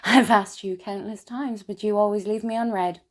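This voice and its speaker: A woman acting a melancholy, wryly funny and tipsy character in an intimate, halting theatrical monologue. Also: medium pitch, steady tone, close to an everyday voice. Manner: halting, intimate, dramatic pauses. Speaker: stage actor performing a solo monologue. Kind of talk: confessional theatrical monologue, spoken as a letter.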